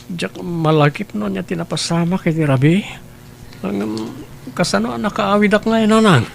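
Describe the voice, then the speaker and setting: A man's voice: sits high, steady tone, normal speed, creaky, slight background noise. A man playing a stubborn, hungover, hard-drinking son with an Ilocano accent, groaning and complaining in a comedy radio drama. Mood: groggy, groaning.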